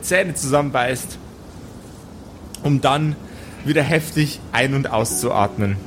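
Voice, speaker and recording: A man narrating an improvised tabletop audio play as game master, telling the scene in deliberate, descriptive phrases. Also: mid-range voice, fairly bright tone, soft background music.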